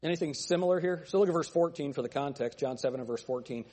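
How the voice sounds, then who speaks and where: A male pastor preaching a fiery sermon with a thin, nasal voice, pressing his points with forceful, repeated phrases. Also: fairly high pitch, fast, big-room sound. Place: church sanctuary.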